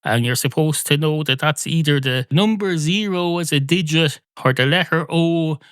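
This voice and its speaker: A man's voice: on the high side, moderate pace, fairly bright tone, smooth, dry recording, clean studio sound. A man hosting a podcast with a rambling, digressive comic monologue, mock-pedantic and repetitive. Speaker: podcast host.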